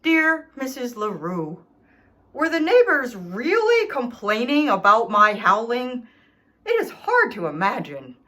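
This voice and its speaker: A woman's voice: a little high, huge pitch swings, slow pace, sharp tone, full character voice. A woman voicing an indignant, self-pitying male dog in a children's storybook, reading his letters with theatrical, aggrieved emphasis. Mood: self-justifying, wounded. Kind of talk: expressive children's storybook read-aloud.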